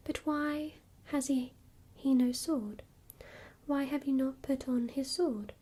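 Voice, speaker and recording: A woman's voice: high-pitched, takes it slowly, warm tone. A woman whispering the lines of a mischievous young boy, cheeky and blunt.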